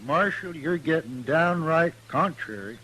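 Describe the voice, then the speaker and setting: Slow-drawling stubborn Ozark mountain man. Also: a little high, lively intonation, pushed and bright. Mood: dry, contrary. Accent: American hillbilly, Ozark. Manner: drawling, dry, unbothered. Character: stubborn, unhurried mountain man bent on feuding. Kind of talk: old-time radio western acting. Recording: noisy old radio recording.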